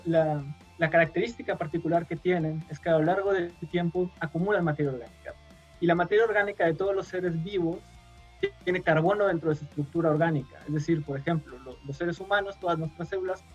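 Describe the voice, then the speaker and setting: A man from Mexico, a guest scientist on a radio show, explaining patiently with frequent pauses and fillers. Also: on the high side, breathy edge, highs cut off, faint music behind.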